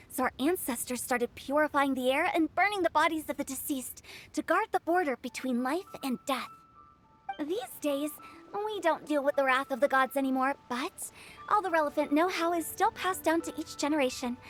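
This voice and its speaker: A woman's voice: sits high, a bit bright. A woman playing a quirky young female funeral director in a video game, with a playful, theatrical storytelling manner. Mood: brisk explaining. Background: music.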